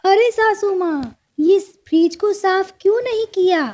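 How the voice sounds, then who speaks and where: Woman voicing a shrill, haughty crow daughter-in-law. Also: unhurried, a little mellow. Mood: angry scolding. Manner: bossy, scornful. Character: haughty, sharp-tongued young female crow daughter-in-law. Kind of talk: cartoon story dialogue.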